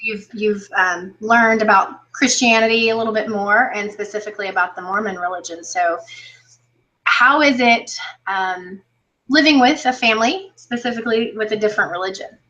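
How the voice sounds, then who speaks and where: A woman interviewing an exchange student as his coordinator, warmly praising his answers between questions. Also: mid-range voice, normal speed, muffled recording. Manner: encouraging, praising, many fillers.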